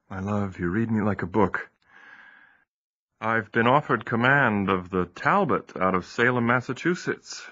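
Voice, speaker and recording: A courteous sea captain, polite yet gently firm. His voice sits low but is bright and lively in pitch, and the audio is a bit muffled. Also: slow pace, pauses often, no room sound, heavily acted. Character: temperate, good-humored male sea captain. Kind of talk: audio drama acting.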